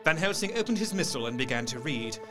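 A man playing an earnest gentleman doctor, narrating a gothic horror radio play in a dramatic, literary manner. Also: fairly high pitch, sing-song, quick pace, over music. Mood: solemn, measured.

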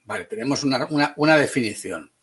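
A man from Spain with a Spanish accent teaching an online class, brisk and teasing, calling on students by name. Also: mid-range voice, lively intonation, a bit bright, slight background noise.

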